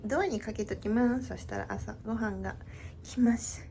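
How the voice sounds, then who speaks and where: A woman's voice: mid-range voice, very fast, vocal fry, dark tone, slight background noise. A woman from Tokyo vlogging from a cruise cabin, chatty and cheerful. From Tokyo, Japan. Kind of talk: casual vlog narration.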